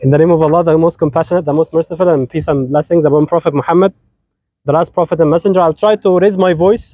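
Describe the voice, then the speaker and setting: Quick, teasing Egyptian man. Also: on the high side, mellow, no echo. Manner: playful, teasing, switches between English and Arabic. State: sick, voice not at full strength. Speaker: wedding officiant. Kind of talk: lively wedding sermon, joking with the couple.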